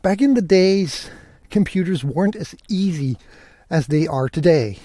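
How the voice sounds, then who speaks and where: A man hosts a solo podcast in a slightly high, mellow voice. His talk is casual, conversational and keen, recorded very dry in the open air on a portable rig. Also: animated, unhurried, dry recording. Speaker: podcast host. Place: outdoors, walking through countryside fields.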